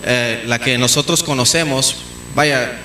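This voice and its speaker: A man from Mexico, preaching a teaching sermon in a church, often pausing with fillers and restarts. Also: mid-range voice, fairly even intonation, sharp tone. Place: church hall. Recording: echoey church room with faint noise.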